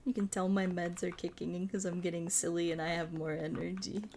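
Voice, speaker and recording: A woman in her thirties, a streamer chatting casually while she plays a puzzle game, playful and quick to poke fun at herself. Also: fairly low pitch, level tone, heavy background noise. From United States. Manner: playful, self-mocking, laughs often, tries out broken Spanish and French.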